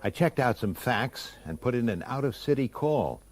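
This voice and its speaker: An actor playing a gruff, irritable, middle-aged police detective in a radio drama, blunt in his delivery. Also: mid-range voice, expressive, moderate pace, close to an everyday voice. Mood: brooding narration. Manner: blunt, hesitant, then explosive. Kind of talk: radio drama acting with first-person narration.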